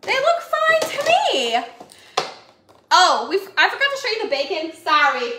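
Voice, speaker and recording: A woman's voice: very high-pitched, very animated, measured pace. A young woman, chatting to viewers on a live grocery haul from her home kitchen, rambling and giggly. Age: young adult. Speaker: livestream host. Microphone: phone camera at arm's length. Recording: echoey kitchen with faint noise.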